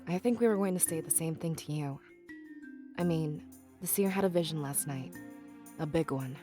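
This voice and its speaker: A woman's voice: low voice, normal speed, warm tone, natural voice. An actress playing a bossy, fussy female animal character in a visual novel, with a haughty, impatient manner. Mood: serious. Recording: music behind.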